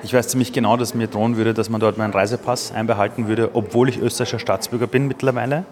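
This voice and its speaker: A man in his forties, from Iran, speaks in an interview with a rapid, tumbling delivery. His voice sits fairly low, and he answers earnestly and with real feeling. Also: steady tone, a little mellow. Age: 40s. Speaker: entrepreneur, interview guest.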